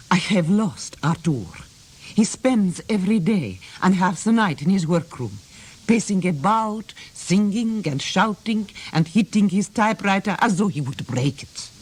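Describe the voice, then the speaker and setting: Exasperated wife narrating a letter, husky, animated pitch, radio drama monologue. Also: female voice. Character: exasperated wife of an obsessed writer, writing home.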